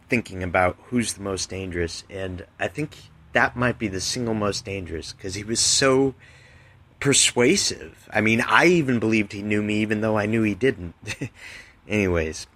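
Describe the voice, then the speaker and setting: A man of thirty reading his own writing on a podcast and explaining it in a deliberate way. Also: fairly low pitch, fairly bright tone. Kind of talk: literary reading mixed with casual explanation.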